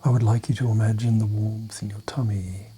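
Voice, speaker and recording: Male meditation narrator: hushed, half-whispered, gentle delivery on a steady, flat line, dark relaxed tone, short phrases with long pauses. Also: on the low side, slight background noise. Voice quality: soft, breathy, half-whispered. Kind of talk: guided meditation, soft-spoken hypnotic suggestion.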